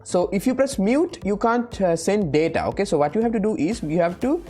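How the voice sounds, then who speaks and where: A woman hosting an informal step-by-step software tutorial, often checking in with the listener as she explains. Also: medium pitch, expressive, a little fast, faint music behind. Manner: frequently checks in with "right?". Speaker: tutorial host.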